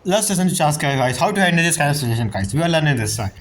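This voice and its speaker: A man giving casual let's-play commentary as a game streamer, chatty and informal, with halting phrasing. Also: fairly high pitch, animated, slight background noise. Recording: game music behind the voice. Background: game music.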